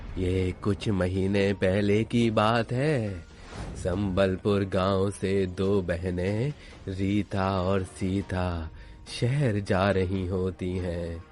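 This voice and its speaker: A man's voice: sits low, flat delivery, very slow, a little mellow. A man playing a fearful, grave old train passenger who warns others in a cartoon horror story. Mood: grave recounting. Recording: music behind the voice.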